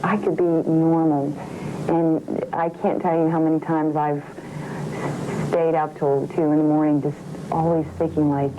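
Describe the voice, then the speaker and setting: Interviewee, female, 30s, lowish voice, informal first-person account, muffled sound with noticeable noise. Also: flat delivery, unhurried. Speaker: patient being interviewed. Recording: dull sound with clear background noise.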